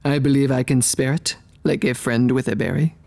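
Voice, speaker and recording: A man's voice: medium pitch, steady tone, moderate pace, vocal fry, mellow, close to an everyday voice. A man voicing a formal, mystical zebra shaman who speaks in rhyme in an audio drama. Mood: solemn. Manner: formal, rhyming.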